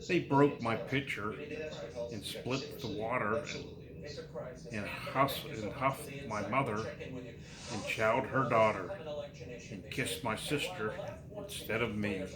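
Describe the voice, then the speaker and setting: A man reading nursery rhymes aloud to his child in a sing-song, unhurried way, pausing and repeating lines. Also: lowish voice, roomy sound, over a noisy crowd.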